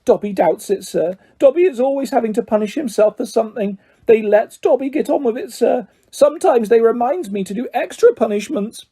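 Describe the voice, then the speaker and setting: A reader voicing a small, servile male house elf with a high, squeaky voice who talks about himself in the third person. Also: expressive, a little fast, few pauses, mellow, slightly rough. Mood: earnest, pleading. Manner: speaks of himself in third person, adds "sir".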